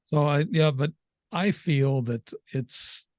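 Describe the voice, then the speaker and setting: A man's voice: fairly high pitch, takes it slowly, darkish tone, no echo, studio quality. A man in his seventies hosting an interview, talking hesitantly and agreeably with frequent restarts. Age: 70s. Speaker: host. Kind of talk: conversational interview.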